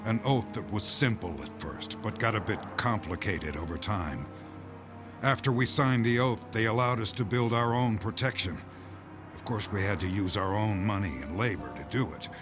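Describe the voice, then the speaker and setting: A man playing a grim doomsday insider in an audio drama, speaking in measured, weighty phrases. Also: on the low side, lightly acted. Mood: grave, bitter. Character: grim male insider revealing a doomsday conspiracy. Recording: music bed under the voice.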